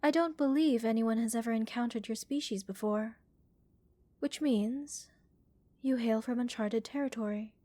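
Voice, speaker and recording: A curious female alien scientist, played by a woman, studies her specimen in a fairly high voice, recorded close and dry. She is calm and clinical, thoughtful and gently reassuring, in a relaxing ASMR roleplay. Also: normal speed, no room sound, close to an everyday voice. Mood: thoughtful, measured.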